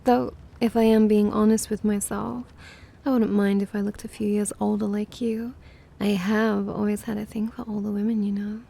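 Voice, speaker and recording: Woman as a creaky, teasing vampire mistress. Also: medium pitch, little pitch movement, normal speed, warm tone. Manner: playful, drawling, condescending. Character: teasing, languid younger-looking immortal vampire mistress. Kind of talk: ASMR roleplay acting.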